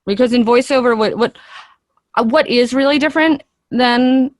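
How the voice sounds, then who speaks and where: A woman's voice: on the high side, moderate pace, dry recording, studio quality. A woman speaking as an interview guest, an actress giving earnest, talkative answers in a conversational way and thinking aloud.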